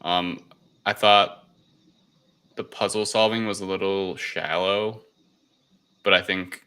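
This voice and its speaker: A man's voice: low-pitched, level tone, slow, pushed and bright, clean tone. A man chatting casually as a podcast panelist reviewing films, with a hesitant, filler-heavy way of talking. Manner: hesitant, repeating "like" and "you know".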